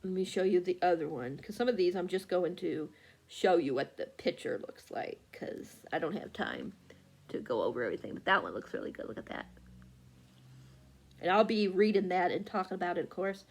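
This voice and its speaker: A woman book vlogger chatting casually and haltingly, often losing her thread and correcting herself. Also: fairly low pitch, big pitch swings, gravelly, breathy edge. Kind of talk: casual rambling chat about books.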